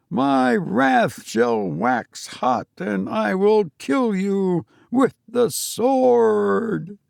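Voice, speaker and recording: A man voicing a male minister who bellows scripture in slow, drawn-out preaching. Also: extremely high pitch, vocal fry, a little mellow, no room sound, clean studio sound, voice pushed up, heavily acted.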